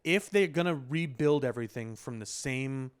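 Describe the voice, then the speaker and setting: A man's voice: medium pitch, moderate pace, clear, resonant tone. A man with a mid-range voice arguing his view in casual podcast talk. He is emphatic and opinionated, often repeating a phrase to drive it home. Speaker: podcast co-host. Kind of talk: casual conversational debate.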